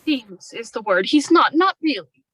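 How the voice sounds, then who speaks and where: Woman acting a high-voiced, pleading young wife. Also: expressive, normal speed, fairly bright tone. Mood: worried. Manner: earnest, pleading. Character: anxious, persuasive, secretly scheming young wife. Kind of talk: amateur radio drama acting.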